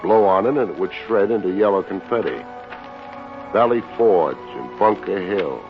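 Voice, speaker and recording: An actor playing a wisecracking, unsentimental private investigator in an old radio detective drama. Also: male voice, sits low, normal speed, faint music behind. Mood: somber narrating. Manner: wry, hard-boiled, slangy. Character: wisecracking, unsentimental male private investigator narrating his case. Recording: dull, noisy old radio recording.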